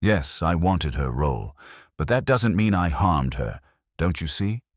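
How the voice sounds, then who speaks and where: Man reading a defensive, ambitious actress, low-voiced. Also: expressive, unhurried, no echo, clean studio sound.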